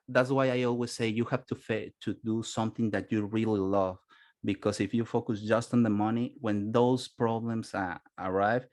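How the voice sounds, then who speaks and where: A native Spanish-speaking man with a Spanish accent gives an informal webinar presentation as a business consultant, searching for words in halting English. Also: fairly low pitch.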